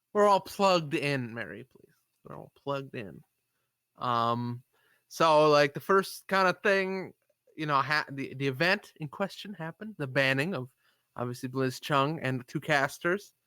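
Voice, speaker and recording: Bright, smooth, higher-voiced man. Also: lively intonation, measured pace, no room sound, studio quality. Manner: rambling, lots of fillers and agreement. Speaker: podcast co-host. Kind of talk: casual chat.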